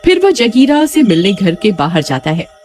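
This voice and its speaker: Female storyteller, scripted spooky comic tale, very lively pitch, music underneath. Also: moderate pace. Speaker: story narrator. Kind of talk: scripted children's horror-comedy story narration.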